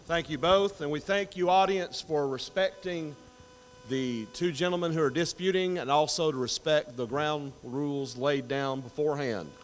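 A middle-aged man moderating a debate, loud and formal, at a podium mic in a large auditorium. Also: a little high, normal speed, a little hiss or hum. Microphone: podium mic on a stand. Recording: long hall reverb.

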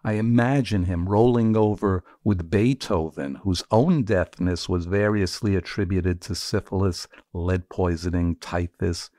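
Male poetry performer, slow and deliberate, highly varied intonation, theatrical phrasing, dry close sound. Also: creaky, darkish tone, dry recording. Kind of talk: dramatic poetry reading.

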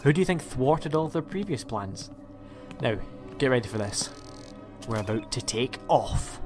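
An actor playing an eccentric, flippant time-travelling alien adventurer in an audio drama. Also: male voice, mid-range voice, animated, unhurried, over music, natural voice. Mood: breezy. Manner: breezy, reassuring, flippant.

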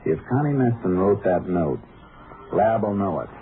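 An actor playing a shrewd, matter-of-fact Texas Ranger detective in a clipped 1950s radio drama style. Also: male voice, on the low side, animated, normal speed, slight background noise. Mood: urgent, sure. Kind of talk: 1950s radio drama acting, narration and dialogue. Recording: muffled 1950s radio recording, music.

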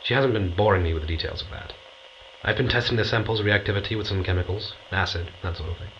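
A man's voice: lowish voice, quick pace, natural voice. An actor playing a dry, understated astronaut scientist narrating his log in an audio drama. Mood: dry, reflective. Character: dry, matter-of-fact male astronaut scientist keeping a log. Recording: dull, noisy recorded log.

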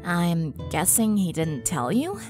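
Woman acting supportive, lower-voiced teenage girl. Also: very animated, slow pace, over music. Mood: concerned. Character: supportive, wry teenage girl, reformed troublemaker. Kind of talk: fan fiction audio drama.

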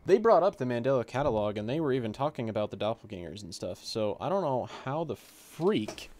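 A man's voice: mid-range voice, sing-song, a little fast, a little mellow. A man giving casual commentary on a game he is playing, rambling as he thinks aloud. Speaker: gaming video host. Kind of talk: casual playthrough commentary mixed with reading game text aloud.

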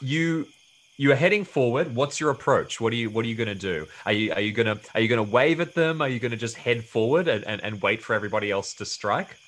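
A young man narrating a tabletop horror game as game master, with halting, deliberate phrasing. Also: mid-range voice, lively intonation, a bit bright, rough recording. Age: young adult. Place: small room at home. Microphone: video call setup.

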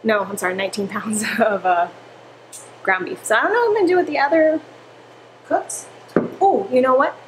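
A woman's voice: on the high side, moderate pace, long pauses, rough recording. Young woman, casual chatty vlogger, self-correcting, home kitchen, faint room hum. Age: young adult. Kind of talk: casual chat to camera. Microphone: camera on a counter.

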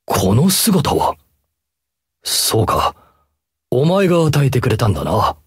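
Brooding male game hero: rough-voiced, tender, solemn, very dry studio sound. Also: medium pitch, wildly swooping pitch, slow pace, no room sound, heavily acted. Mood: pensive. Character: gentle, brooding scarred masked male hero. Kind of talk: Japanese mobile game character acting.

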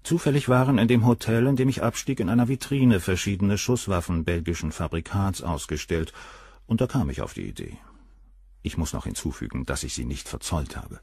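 A proud professor of surgery in a crime radio play. His low voice rises and falls freely, dry and close. He speaks with composed, cold condescension. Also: expressive, a little fast, creaky, darkish tone, slightly rough, no room sound, heavily acted. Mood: composed, matter-of-fact. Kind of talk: German radio crime drama acting.

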